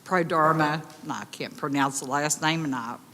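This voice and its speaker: An upset woman with a big, loud voice, pleading urgently as a resident at a public meeting. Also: deep voice, moderate pace. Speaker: resident addressing county commissioners. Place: county commission meeting room. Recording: echoey hall, distant reverb.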